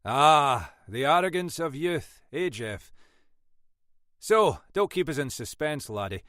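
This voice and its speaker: An older male police inspector from a crime audiobook, acted out by a male reader. He is wry and avuncular, teasing his juniors in a raised, animated voice, recorded dry and close. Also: a little high, takes it slowly, no room sound, voice pushed up, heavily acted. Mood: amused, teasing.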